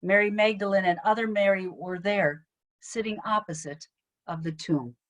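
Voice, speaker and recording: A woman's voice: medium pitch, moderate pace. A woman pastor reading a scripture narrative aloud over a video call. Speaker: Lutheran pastor.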